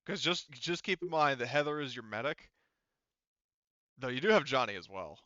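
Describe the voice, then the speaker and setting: A man with a crisp, bright voice serves as game master for a tabletop roleplaying session. He talks casually and haltingly, working things out aloud. The sound is dry and somewhat dull. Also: mid-range voice, animated, no room sound.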